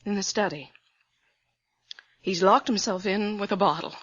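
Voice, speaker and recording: An actress playing an anxious, frightened wife who speaks hesitantly in a radio drama. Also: female voice, mid-range voice, normal speed, fairly bright tone, dry recording, natural voice. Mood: worried. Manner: hesitant, worried. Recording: dull, dry old radio recording.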